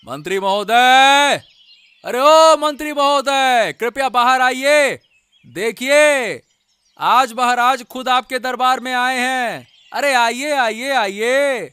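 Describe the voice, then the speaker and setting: A man's voice: very high voice, slow pace, pushed and bright, smooth, dry recording, heavily acted. A man voicing a confident, reassuring court investigator in a cartoon story. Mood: calling out. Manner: reassuring, urging. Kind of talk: animated Hindi cartoon story acting. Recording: music under the voice.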